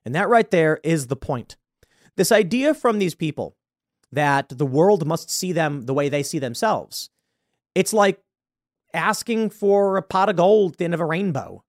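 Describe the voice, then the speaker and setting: Brief: male host, slightly high voice, dry close sound, halting then emphatic commentary. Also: animated, no echo. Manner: hesitant, repeats words, stresses points loudly. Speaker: show host. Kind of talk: political commentary monologue.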